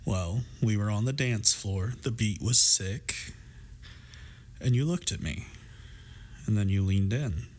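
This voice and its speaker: Guy doing a warm teasing best friend for a roleplay audio, kinda low voice, wry but really reassuring. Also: slow pace, long pauses. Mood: earnest, gentle. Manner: playful, affectionate, wry, reassuring. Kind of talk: intimate scripted roleplay audio drama.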